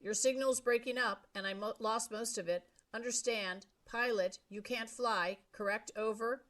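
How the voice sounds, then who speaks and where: A woman's voice: a little high, fairly even intonation, normal speed, fairly bright tone, pitched above the performer's own voice, close to an everyday voice. A woman voicing an adult male radio operator speaking in clipped, formal radio procedure. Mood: brisk.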